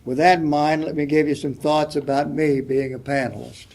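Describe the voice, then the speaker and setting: Soft-spoken older man, reflective. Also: a little high, level tone, moderate pace. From United States. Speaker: panelist. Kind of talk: reading a prepared letter at a public forum. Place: public forum hall.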